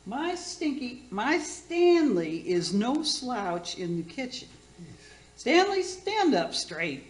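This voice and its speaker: A woman's voice: on the high side, sing-song, slow. A woman playing a tipsy, bawdy middle-aged auntie who hosts a radio cooking show in a comedy play, rambling and gushing with slurred word slips. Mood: brash, teasing. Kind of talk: community theater radio comedy acting. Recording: roomy, echoing space.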